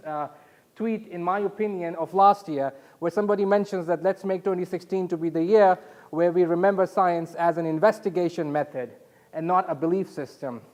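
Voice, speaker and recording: Higher-voiced man giving a halting conference talk. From Saudi Arabia. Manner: halting, explanatory, rhetorical questions. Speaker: conference speaker. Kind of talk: conference presentation with audience questions.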